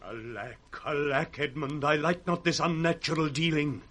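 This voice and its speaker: A man's voice: on the high side, normal speed, fairly bright tone. An actor playing an anxious, loyal old nobleman with an earnest, conspiratorial British delivery in a radio play. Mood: distressed, indignant. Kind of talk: 1960s British radio Shakespeare acting. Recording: faintly noisy 1960s radio recording.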